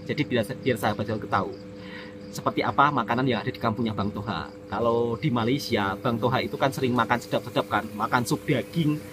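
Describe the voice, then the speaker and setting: A man's voice: medium pitch, background noise. A man from Indonesia vlogging in a friendly, devout manner, often referring to himself by name in the third person. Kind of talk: casual vlog talk to viewers.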